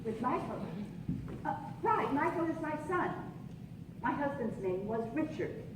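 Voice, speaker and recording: A woman's voice: high-pitched, expressive, unhurried. Woman acting a regretful widow, distant and echoey. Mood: reproachful. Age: middle-aged. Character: regretful middle-aged widowed mother, old flame. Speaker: stage actor. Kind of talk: live stage radio play, 1940s film romance parody. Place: theatre stage, live performance. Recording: echoey, distant, noisy stage recording.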